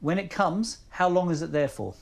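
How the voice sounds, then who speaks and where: A man with an Australian accent working as a family doctor, talking a patient through a consultation in a calm, reassuring way and often checking "okay?". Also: sits high, normal speed, gravelly. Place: GP consulting room.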